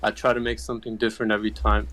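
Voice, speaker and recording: A man's voice: fairly low pitch, little pitch movement, racing, fairly bright tone, dry recording. A man who is a guest content creator, chatting in a laid-back, casual way with easy slang. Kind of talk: casual podcast chat.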